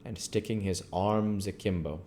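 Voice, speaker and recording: A man's voice: low-pitched, normal speed, mellow, a little hiss or hum. A man reading a storybook aloud as narrator. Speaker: audiobook reader.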